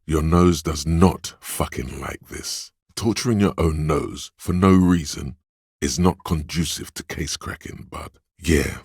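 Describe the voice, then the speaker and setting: A gravelly, deep inner smell sense. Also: male voice, unhurried, darkish tone, no room sound, clean studio sound, exaggerated voice. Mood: sardonic. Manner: sardonic, vivid second-person description. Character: disembodied inner sense of smell, male, wry. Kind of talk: game voice-over narration.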